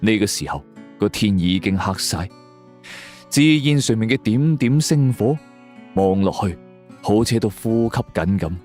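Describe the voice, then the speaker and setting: A man's voice: fairly low pitch, animated, a little slow, a little mellow, faint music behind. A man narrates a suspense story in the first person, dramatically.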